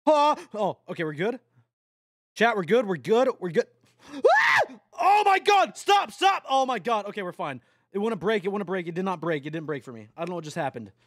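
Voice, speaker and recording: A man's voice: sits high, very animated, normal speed, a bit bright, no room sound, shouting, studio quality. A young man streams a truck driving game and talks to his chat casually. He swears often and breaks into yelps and laughs. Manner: addresses chat directly.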